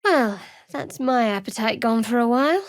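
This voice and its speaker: An actress playing a guarded young cleric of a dark goddess in a video game, with a dry, sardonic, clipped manner. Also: female voice, on the high side, animated, moderate pace, no room sound. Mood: dry, wry. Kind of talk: video game character voice lines.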